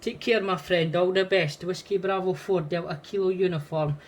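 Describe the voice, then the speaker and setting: A man's voice: high voice, fairly even intonation, normal speed, slight background noise. A man with a Scottish accent chatting casually as an amateur radio operator, friendly and repeating phrases for emphasis. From Scotland. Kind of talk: casual radio contact chat.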